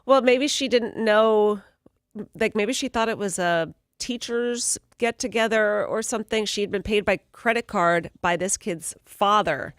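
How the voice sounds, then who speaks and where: A woman hosting morning radio, telling offbeat news stories in a brisk, amused way. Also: mid-range voice, dry recording, clean studio sound.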